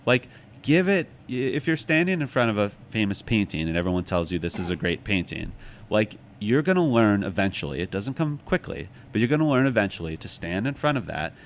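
Low-voiced American man, noisy background. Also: very animated, a little fast. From Chicago, United States. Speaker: author and podcast guest. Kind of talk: casual interview conversation, anecdotes and reflective advice.

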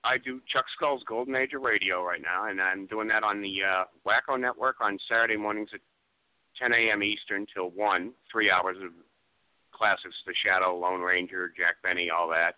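A man's voice: fairly low pitch, steady tone, normal speed, dry recording. A man chatting conversationally as an interview guest, telling things in an anecdotal way. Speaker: guest, radio show presenter. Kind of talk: casual interview chat. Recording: dull lo-fi call audio.

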